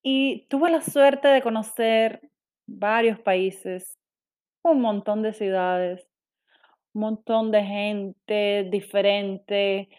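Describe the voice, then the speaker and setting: A woman's voice: medium pitch, a little mellow. A young woman from Cuba with a Cuban accent, speaking candidly and self-critically in a personal monologue, with frequent restarts. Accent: Cuban Spanish.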